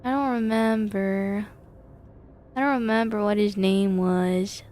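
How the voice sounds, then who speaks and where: Woman game streamer, mid pitch, really slow pace, reads story bits and chats casually. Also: steady tone, a little mellow. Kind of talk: narrated game reading and casual live chat.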